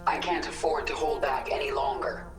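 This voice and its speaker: A woman's voice: sits low, fairly even intonation, moderate pace. An actress playing a vengeful alien swarm queen in a video game, cold, resolute and commanding, with a layered processed voice. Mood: grim determination. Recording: echoey, distant, under loud game music.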